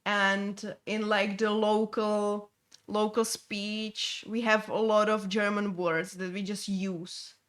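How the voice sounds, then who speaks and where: A young woman from Czechia with a Czech accent, chatting casually as she streams a game, halting with many fillers and restarts. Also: medium pitch, flat delivery, a bit bright. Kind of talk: casual gameplay chat.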